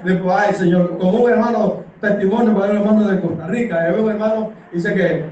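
Man preaching, Panamanian accent, very high raised voice, middle-aged, impassioned, rhetorical questions, heavily echoing church hall. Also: darkish tone, dull audio. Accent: Panamanian Spanish. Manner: impassioned, conversational, punctuated with rhetorical tag questions. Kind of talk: sermon. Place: small church hall full of congregants, indoors. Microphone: distant from camera, echoing through the room. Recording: echoey hall from far off, background noise.

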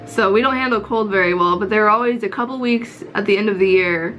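A woman's voice: mid-range voice, fast, a bit bright, faint music behind, poor audio. A young American woman from Florida chatting candidly and informally in a casual vlog. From Florida, United States. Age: young adult. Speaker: vlogger and visual novel developer. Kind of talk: casual vlog chat about own project. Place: small indoor home room.

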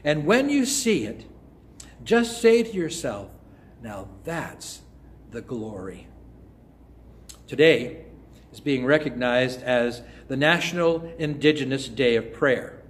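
An older man preaching a sermon in a church, close to a phone or webcam. He speaks in a measured way and often drops to a soft, hushed voice. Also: mid-range voice, animated. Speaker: pastor. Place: church sanctuary before an altar cross.

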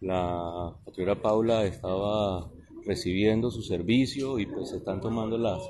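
A Colombian man, a police colonel, answering reporters in a formal, halting way outdoors into handheld microphones. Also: fairly low pitch, a little mellow, slight background noise. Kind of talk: press interview answers. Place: outdoors at night outside a building.

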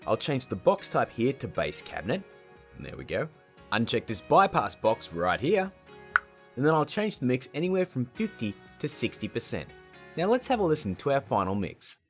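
Chatty guy in his 30s doing a tutorial, really animated voice, music behind. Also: medium pitch, normal speed. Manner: playful, chatty, informal. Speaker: tutorial host. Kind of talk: casual scripted video tutorial.

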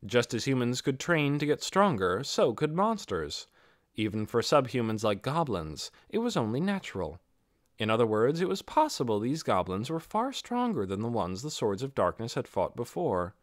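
A man's voice: mid-range voice, big pitch swings, moderate pace, no echo, studio quality. A man reading a fantasy novel as a scripted audiobook narration.

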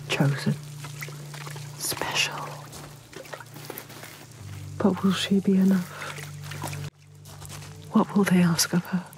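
An actress playing an eerie, watchful inner voice in a video game, hushed, intimate and full of foreboding. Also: female voice, lowish voice, rough recording. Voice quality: breathy whisper. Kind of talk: scripted video game drama.

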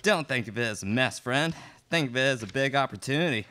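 A man's voice: mid-range voice, lively intonation, fast talker, pushed and bright. A young man playing a cocky, menacing outlaw leader in a live student radio play. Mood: sly, menacing. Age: young adult. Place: classroom studio, indoors. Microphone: stand microphone. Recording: noisy live room recording with clicks.

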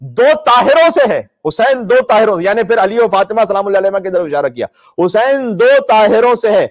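A man's voice: sits high, animated, brisk. Emphatic male preacher in impassioned sermon. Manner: emphatic, declamatory, mournful storytelling delivery. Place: indoor room with draped religious banners, live stream.

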